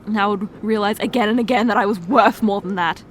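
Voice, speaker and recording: A woman voicing a heartbroken young fiancée who stumbles over her words and keeps breaking off to restart. Her voice sits at an ordinary middle pitch for a woman. Steady noise and vehicle rumble run under the recording. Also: natural voice. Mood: distraught. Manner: halting, with stammered restarts. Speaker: ASMR roleplay performer. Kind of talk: ASMR roleplay.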